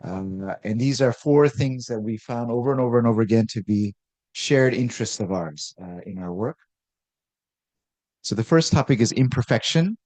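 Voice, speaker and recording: Relaxed man giving an artist talk. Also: medium pitch, moderate pace, no echo. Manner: relaxed, lots of uh fillers and restarts.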